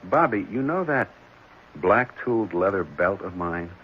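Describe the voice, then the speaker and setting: An actor playing a gentle, earnest married foster father in a 1970s radio drama, speaking hesitantly and reassuringly. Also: sits low, lively intonation, measured pace. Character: gentle, caring married man fostering an orphan boy. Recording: muffled, noisy old radio broadcast.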